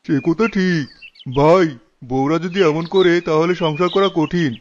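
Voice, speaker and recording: Man as a high-voiced, teasing cartoon villager. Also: normal speed, a little mellow, lightly acted. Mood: chatty. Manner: chatty, teasing. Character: teasing, chatty village man at a tea stall. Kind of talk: cartoon character voice acting. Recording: dull audio, faint noise, birdsong. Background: birds chirping.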